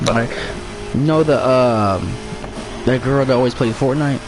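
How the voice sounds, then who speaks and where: A man's voice: medium pitch, normal speed, over music, rough recording. A young man streaming a racing game, chatting loosely with friends and joking as he tells stories. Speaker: gaming streamer. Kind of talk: casual chat while playing a racing game.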